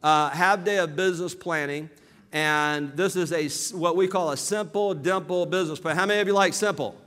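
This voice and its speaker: Energetic southern guy working a seminar crowd, resonant voice, echoing big hall. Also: fairly high pitch, moderate pace. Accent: Southern American. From southern Alabama, USA. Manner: energetic, calls for audience response. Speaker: business seminar speaker. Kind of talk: motivational seminar talk. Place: large hall with live audience. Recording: reverberant hall.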